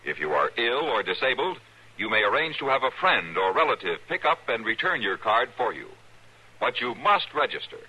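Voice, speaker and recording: A man's voice: medium pitch, big pitch swings, moderate pace, sharp tone, slightly rough, low-quality recording. A man with an American accent reading a formal, emphatic public-service announcement in 1950s radio style.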